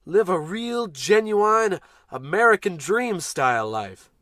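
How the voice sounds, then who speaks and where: A man's voice: high voice, expressive, takes it slowly, bright, forceful tone, no room sound, heavily acted. Man playing a pompous, blustering radio-play space captain. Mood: scheming, grandiose. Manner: grandiose, bossy, with dry sarcastic asides. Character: pompous, callous American spaceship captain, blustering and self-important. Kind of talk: comic old-time radio play acting.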